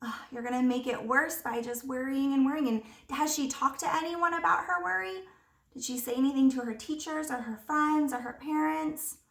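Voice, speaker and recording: A woman teacher reading a picture book aloud to young children, expressively, pausing to ask them simple questions. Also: high-pitched, a bit bright. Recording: roomy echo, distant microphone.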